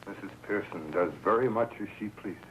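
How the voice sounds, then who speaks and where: A man's voice: lowish voice, a little fast, a little hiss or hum, natural voice, low-quality recording. An actor playing an insolent, scheming man in a 1950s television crime drama, with a pointed way of talking. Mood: insolent, needling. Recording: 1950s TV soundtrack, very loud music behind.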